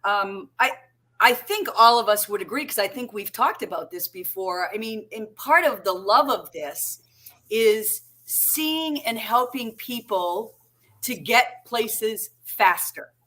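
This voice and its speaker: A woman's voice: fairly high pitch, expressive, takes it slowly. A woman with a Massachusetts accent co-hosting a casual chat over a video call, talking in a chatty way and often asking "right?". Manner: chatty, warm, seeks agreement with frequent "right?". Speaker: co-host.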